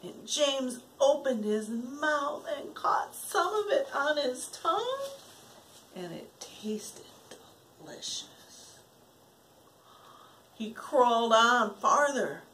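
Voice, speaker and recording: A woman's voice: mid-range voice, rough recording. An older woman, a grandmother, reads a children's story aloud expressively, dramatizing it and checking in with her young listeners. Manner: dramatic, with gasps, hushed passages and questions to listeners. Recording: home recording with steady background noise.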